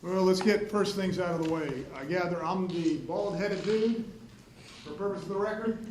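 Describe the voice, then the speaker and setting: A man's voice: high voice, normal speed, a little mellow. A man whose first language is Spanish, a prosecutor questioning a witness in a loose, rambling, self-deprecating way. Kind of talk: courtroom redirect examination. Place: courtroom. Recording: echoey courtroom, faint hiss.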